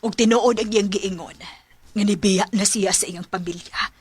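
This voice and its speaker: An actress playing a gentle, forgiving middle-aged mother in a radio drama, with a Cebuano Filipino accent. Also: medium pitch, fairly even intonation, normal speed, husky, no room sound, lightly acted. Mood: gentle, explaining.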